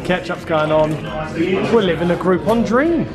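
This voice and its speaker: A man's voice: high voice, lively intonation, normal speed, a loud crowd. A man chatting casually to camera as a vlogger, with jokey, teasing banter. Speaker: family vlogger. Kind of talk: casual vlog chat. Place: busy restaurant, street and living room. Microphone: handheld vlog camera. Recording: echoey, with prominent music behind.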